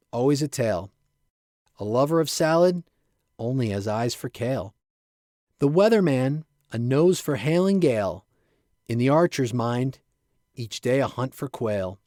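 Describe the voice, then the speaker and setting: Man reciting whimsical verse, lively pitch swings. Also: measured pace, pauses often, no echo, studio quality. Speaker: poet reading own work. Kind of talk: rhythmic poetry recitation.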